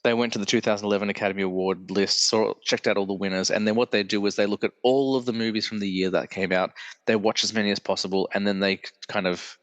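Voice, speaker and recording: A man's voice: on the low side, fairly even intonation, fast, a bit bright, in a large hall. A male podcast host with a congested, nasal voice, chatting casually and correcting himself as he rambles on. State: congested from illness. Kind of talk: casual solo podcast chat.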